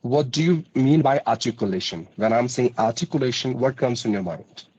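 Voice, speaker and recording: A man's voice: mid-range voice, fairly quick, a little mellow, breathy edge, highs cut off. A man interviewing a learner for spoken practice, asking long questions with hesitant fillers. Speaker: English teacher interviewing a learner.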